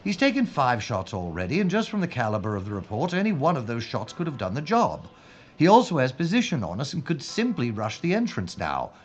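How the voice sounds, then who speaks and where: A man playing a courteous, unflappable police inspector in wartime London, dryly understated and deliberate, in an audio drama. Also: medium pitch, wildly swooping pitch, highs cut off. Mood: grave, deducing.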